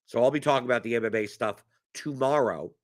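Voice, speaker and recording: A man's voice: medium pitch, fast. A man hosting a fantasy sports strategy stream, chatting casually and answering chat questions while losing his voice to a cough, often repeating words as he restarts his thoughts.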